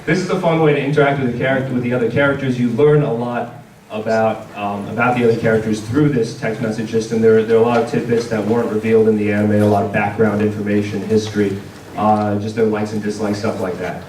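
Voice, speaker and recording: A man giving an informal convention panel presentation in a hesitant, halting way. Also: lowish voice, little pitch movement, darkish tone. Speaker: panel presenter for a game publisher. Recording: distant mic in echoey, noisy room.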